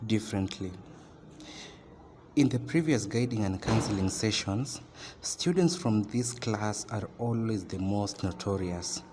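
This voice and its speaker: A man's voice: lowish voice, mellow. Man acting a stern Kenyan teacher, echoey. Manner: admonishing, emphatic, halting delivery. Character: stern, scolding class teacher lecturing students. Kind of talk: amateur radio drama monologue. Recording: noisy, very reverberant room.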